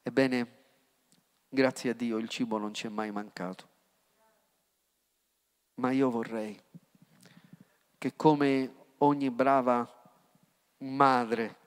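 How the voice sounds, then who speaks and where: Middle-aged pastor preaching slow and fiery, big echo off the church walls. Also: male voice, medium pitch. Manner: impassioned preaching with sudden rises and hushed drops. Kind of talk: sermon. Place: church hall. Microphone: handheld mic. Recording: long church reverb.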